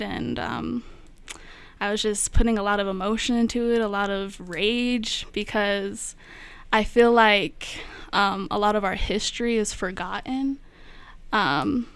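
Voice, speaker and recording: A young woman, a poet and radio guest, talking slowly and reflectively in a studio with many pauses and fillers. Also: medium pitch, fairly bright tone, smooth, no echo. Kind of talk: spoken word poetry and casual radio talk.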